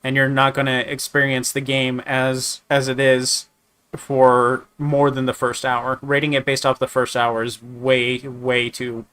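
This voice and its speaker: A man with a slightly raspy voice from a sore throat, chatting casually while reviewing games, rambling with many restarts and fillers. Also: medium pitch, completely flat delivery, measured pace, a bit bright. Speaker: gaming streamer. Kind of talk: casual game review chat.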